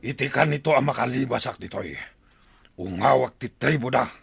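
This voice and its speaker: Male actor as villainous chieftain, very gravelly, forceful, snarling, defiant, radio drama. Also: medium pitch, normal speed.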